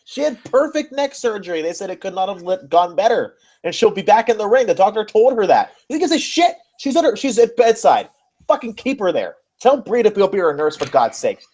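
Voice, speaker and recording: A man's voice: sits high, very animated, brisk, darkish tone. A man co-hosting a casual wrestling podcast, talking in a blunt, exasperated way with plenty of swearing. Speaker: podcast co-host.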